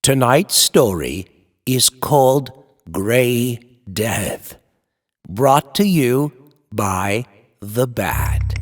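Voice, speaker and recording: A man narrating a scripted horror tale like an old-time radio host, theatrical, ominous and drawn-out. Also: mid-range voice. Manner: ominous, drawn-out, dramatic delivery. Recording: music under the voice.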